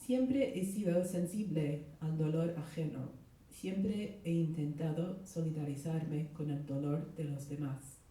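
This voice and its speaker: A middle-aged woman, a literary translator, reading aloud at a live event, her voice hoarse with a cold. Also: sits low, moderate pace, warm tone. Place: echoey indoor event space. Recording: very echoey room, distant mic.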